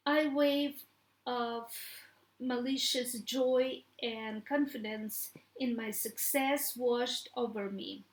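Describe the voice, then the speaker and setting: A woman reading a first-person story aloud in a halting way, stumbling over words. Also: fairly high pitch, fairly even intonation. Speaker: author reading own book. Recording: echoey room.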